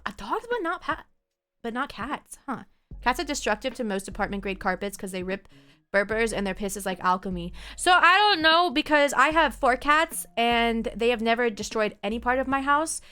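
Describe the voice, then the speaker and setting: Young female streamer, bright and clear voice, rambling chatty delivery with frequent laughter, light music underneath. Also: fairly high pitch. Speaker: game streamer. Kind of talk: casual chat while reading viewer comments.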